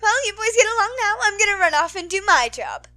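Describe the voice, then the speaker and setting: Woman acting a brash, high-pitched teasing heroine. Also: sing-song, brisk, heavily acted. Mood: breezy. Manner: loud, breezy, theatrical.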